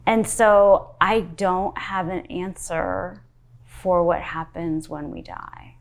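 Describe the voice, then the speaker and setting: Slow, measured woman pastor. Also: lowish voice. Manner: thoughtful, measured, unhurried. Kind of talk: sit-down interview. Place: wood-panelled study with armchair. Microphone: lapel mic.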